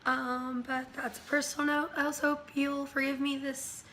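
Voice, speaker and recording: A woman's voice: sits high, flat delivery, normal speed, pushed and bright, slight background noise. A woman whose voice is worn from being sick, chatting casually about books she has read, rambling with many ums and likes. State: voice worn from illness, recovering. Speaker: book reviewer. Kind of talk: casual chatty book review.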